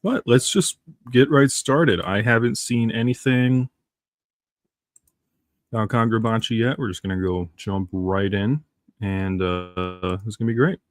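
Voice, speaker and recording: A man with a low voice and a stuffy, congested nose talks in a loose, rambling way on a live reaction stream. The sound is close and dry, with no room around it. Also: a little slow, dry recording. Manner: rambling, chatty, reads comics aloud.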